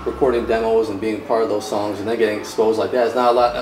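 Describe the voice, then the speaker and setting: Man, low voice, quick casual questions, echoey room, mic at a distance. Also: flat delivery. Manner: laid-back, with affirming "right" and "cool". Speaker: interviewer. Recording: echoey room, mic far off, clear background noise.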